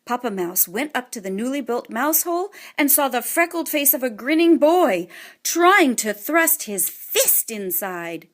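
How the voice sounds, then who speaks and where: Expressive high-voiced woman storyteller. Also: measured pace. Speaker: audiobook narrator. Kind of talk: scripted children's story narration.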